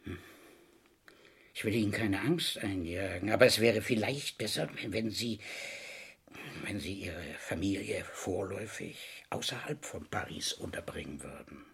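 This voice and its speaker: Middle-aged police inspector, gravelly, husky, wily, falsely sympathetic, radio crime play. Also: male voice, on the low side, lively intonation, measured pace, a bit bright, full character voice. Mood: slyly menacing. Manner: musing, insinuating, probing. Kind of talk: German radio drama acting. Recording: vintage radio play recording.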